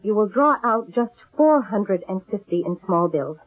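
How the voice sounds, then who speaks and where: Woman playing husky middle-aged fortune teller con lady, commanding, thin phone-like old radio drama sound. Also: mid-range voice, lively intonation, normal speed, close to an everyday voice. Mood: solemn, commanding. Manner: portentous, commanding delivery. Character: shrewd aging female fortune-teller con artist, solemn and commanding. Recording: thin, narrow-band old radio recording.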